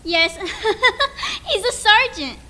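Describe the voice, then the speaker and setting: Lady doing a impressed young club guest, radio drama, slow swoopy high voice. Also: wildly swooping pitch, sharp tone, exaggerated voice. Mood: excited. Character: eager, impressed young woman in club audience. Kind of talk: radio play acting. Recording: crowd noise around the voice.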